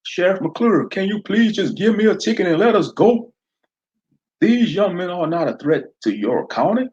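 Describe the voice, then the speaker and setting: A man's voice: high voice, animated, moderate pace, darkish tone, muffled recording, pitched above the performer's own voice. A man voicing a polite van driver pleading with a sheriff.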